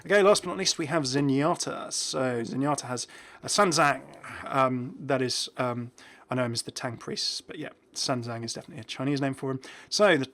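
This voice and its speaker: A man presents a gaming video in a mid-pitched voice. His commentary is informal and conversational, with an enthusiastic, chatty delivery. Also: fairly quick, hardly any pauses, a bit bright.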